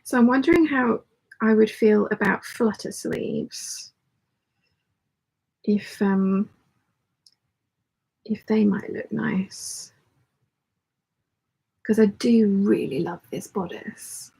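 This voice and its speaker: A woman with a croaky voice, chatting casually and ramblingly as the host of a live hangout. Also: mid-range voice, takes it slowly, long pauses, darkish tone, poor audio. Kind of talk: casual livestream chat, reading out viewer comments.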